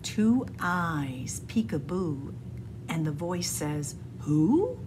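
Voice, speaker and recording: Animated woman reading to children. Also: mid-range voice, noisy background. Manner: animated, deliberate, sing-song. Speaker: librarian reading picture books aloud. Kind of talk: expressive children's read-aloud storytelling. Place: indoors, room with bookshelves.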